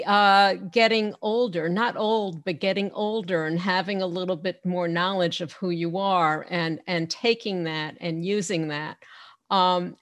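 A woman's voice: medium pitch, no echo. Woman interview host, close dry sound. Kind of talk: casual conversational interview.

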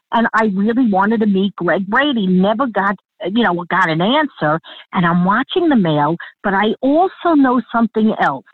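A woman with a thick Long Island accent, telling stories as an interview guest, lively and laughing, over a tinny phone line. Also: medium pitch, no echo. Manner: lively, laughing, talks in quick bursts with restarts. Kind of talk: animated storytelling interview.

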